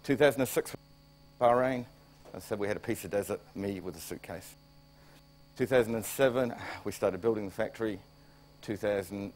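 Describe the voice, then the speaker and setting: A man with a New Zealand accent presents at a conference in a lowish voice, plain and a little wry. He is fighting off a cold and often restarts his phrases. A faint hum sits behind him. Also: a little mellow, low-quality recording. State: coming down with a cold. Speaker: plant director.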